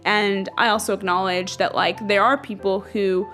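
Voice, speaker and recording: A woman's voice: medium pitch, fairly even intonation, normal speed, a bit bright, faint music behind. A young woman from Ethiopia speaking in a casual, earnest, reflective way, filling her talk with "like". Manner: earnest, says "like" constantly. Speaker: interviewee.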